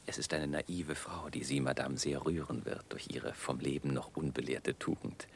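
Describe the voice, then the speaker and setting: An actor playing an obsequious, suave courtier chevalier with formal stage diction in a German radio play. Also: male voice, extremely low pitch, steady tone, moderate pace, creaky, slight background noise. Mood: suave, deferential.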